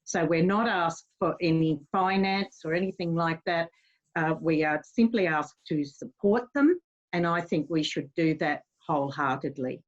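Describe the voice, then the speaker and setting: A woman's voice: sits low, moderate pace. An Australian woman, a councillor, speaking in support of a motion at a council meeting, hesitant and full of fillers but earnest. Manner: hesitant, many fillers, plain earnest speech. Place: council chamber. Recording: dull, lo-fi sound.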